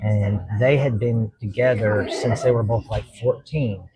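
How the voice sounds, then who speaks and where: Man, Kentucky accent, middle-aged, earnest, halting speech. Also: fairly low pitch, steady tone, a crowd in the background, poor audio. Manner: earnest, halting, many fillers and restarts. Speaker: judge, podcast guest. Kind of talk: casual interview talk.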